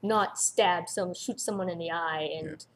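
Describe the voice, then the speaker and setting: A woman's voice: on the low side, measured pace, slight background noise. A woman chatting casually as a commentator on a review, emphatic and incredulous, repeating her points. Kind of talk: casual chat.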